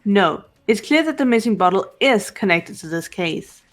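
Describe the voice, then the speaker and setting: A woman voicing a calm, cool-headed young female detective who lays out her reasoning in a fan dub of a game. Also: medium pitch, faint music behind, close to an everyday voice. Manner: measured, explanatory.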